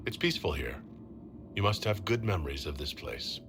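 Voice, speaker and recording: A man's voice: sits low, big pitch swings, brisk, noisy background. A male actor playing a direct, earnest heroic leader in a video game cutscene. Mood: earnest, questioning. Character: commanding heroic adult male leader of an inquisition. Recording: music behind the voice.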